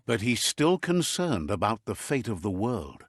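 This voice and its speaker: A man narrates a video game like a storybook, relaying what each character says in a measured way. His voice sits low but moves with a lively, expressive melody. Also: breathy edge, no echo, studio quality.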